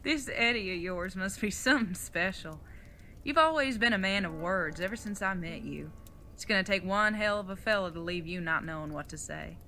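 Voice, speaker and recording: A woman's voice: mid-range voice, normal speed, pushed and bright, a little hiss or hum. A woman playing an older retired outlaw mother in an audio drama, folksy, plain-spoken and teasing, with affection underneath. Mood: teasing, wry.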